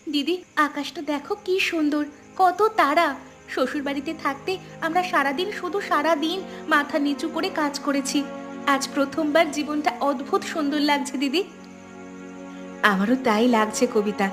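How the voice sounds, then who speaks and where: A woman's voice: high voice, normal speed, close to an everyday voice. A woman playing wronged young village sisters-in-law in a cartoon story, speaking in an earnest, plaintive way. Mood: wistful, worried. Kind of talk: Bengali cartoon story dialogue. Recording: music behind the voice.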